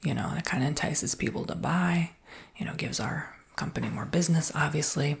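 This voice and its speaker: A woman whispering in a breathy voice with a creaky undertone, giving casual, reassuring sales patter as an ASMR roleplay. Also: low-pitched, a little fast.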